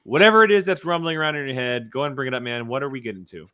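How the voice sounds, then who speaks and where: A man co-hosting a talk show, chatting casually in a halting way with repeated phrases. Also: medium pitch, pushed and bright, smooth, no room sound. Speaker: co-host of a movie talk show. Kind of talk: casual chat.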